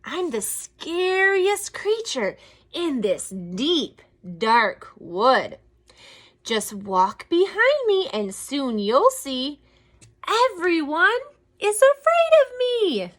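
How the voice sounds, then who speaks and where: A woman's voice: sits high, wildly swooping pitch, very slow, fairly bright tone, pitched above the performer's own voice, full character voice. Woman voicing a bold, sing-song little storybook mouse. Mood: boastful. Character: small bold boastful mouse, cunning storybook trickster.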